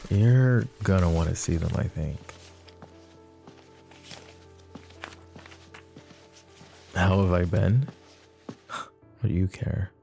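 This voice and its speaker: A man playing a possessive, overprotective mafia boss in an intimate roleplay audio drama, with a low gravelly voice and a measured, commanding manner. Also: dark tone, faint music behind. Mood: weary, curt. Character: possessive, overprotective male mafia boss, curt but caring. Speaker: voice actor.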